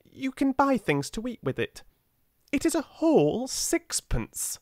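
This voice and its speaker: Storybook small boy, British, benevolent and insistent, high and swooping played by a man. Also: huge pitch swings, unhurried, no room sound, studio quality, heavily acted. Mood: stoutly insistent. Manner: benevolent, insistent, simply worded. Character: earnest, rosy-faced little rich boy, kindly and stubborn.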